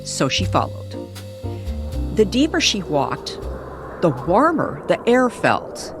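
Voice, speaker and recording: A woman narrating an eerie story in old-time radio style, with dramatic, weighted pauses. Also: fairly low pitch, wildly swooping pitch, music in the background.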